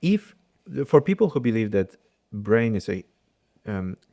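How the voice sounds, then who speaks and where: A man's voice: on the low side, very animated, measured pace, warm tone. A man whose first language is Polish, hosting a podcast and asking curious questions with hesitant fillers. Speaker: podcast host. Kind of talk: podcast interview.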